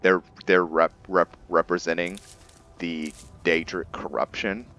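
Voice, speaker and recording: A man's voice: low voice, level tone, unhurried, bright, forceful tone, highs cut off. A man giving casual game commentary to viewers, rambling as he thinks aloud and explains things. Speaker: gameplay video creator, player. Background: music.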